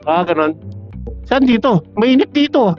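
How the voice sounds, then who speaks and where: A man's voice: very high voice, very animated, a little slow. A man chatting and laughing with a friend over a helmet intercom while riding a motorcycle. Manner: laughs often, chatty, teasing. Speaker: motorcycle rider. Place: on a motorcycle on the road. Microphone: helmet intercom headset. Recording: muffled lo-fi intercom audio with faint music.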